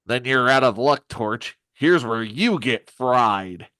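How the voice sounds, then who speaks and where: A man's voice: medium pitch, animated, slow pace, pushed and bright, dry recording, voice pushed up. A man plays a cocky comic-book villain, sneering and taunting his enemies. The delivery swings up and down, recorded dry and close. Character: cocky male supervillain thug, sneering and threatening.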